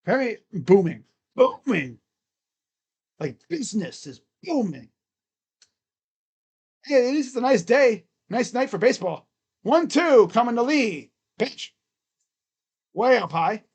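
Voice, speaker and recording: A live-stream host giving casual play-by-play of a baseball game in a loud, booming voice, chatting between pitches. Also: very animated, takes it slowly, long pauses, breathy edge.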